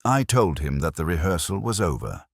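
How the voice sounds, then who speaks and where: A man reading a scripted first-person mystery story in a reflective, solemn, deliberate, melancholy manner. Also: deep voice, very animated, vocal fry, no echo, clean studio sound. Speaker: narrator of a detective mystery story.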